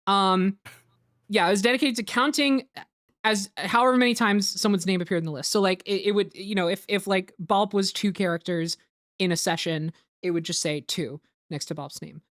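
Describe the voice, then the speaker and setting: A woman's voice: medium pitch, steady tone, moderate pace, no room sound, clean studio sound. A woman hosting a group commentary, explaining things in casual chat, rambling with lots of "like" and some swearing. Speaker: host of a group commentary on a fan dub.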